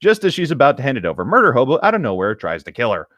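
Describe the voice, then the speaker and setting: A man's voice: medium pitch, huge pitch swings, very fast, fairly bright tone. A man reading stories aloud as a co-host, breaking off into casual commentary.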